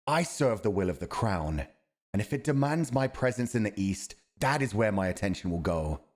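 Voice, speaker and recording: A stern military commander of a fantasy crown , acted by a man. His low voice is grave and formal yet very expressive in its rise and fall, that of a loyal, principled soldier in an audio drama. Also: normal speed. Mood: indignant, threatening. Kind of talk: fantasy audio roleplay acting.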